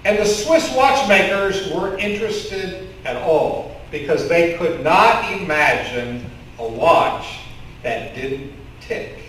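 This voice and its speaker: A middle-aged man with a Kentucky accent preaches a relaxed, story-driven sermon at an unhurried pace. His voice sits a little high. The sound is caught from a distance in a very echoey sanctuary. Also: a little hiss or hum. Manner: conversational storytelling preacher, gestures with open hands. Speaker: pastor. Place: church sanctuary in front of a curtain. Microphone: stand mic with headset mic, filmed from the congregation.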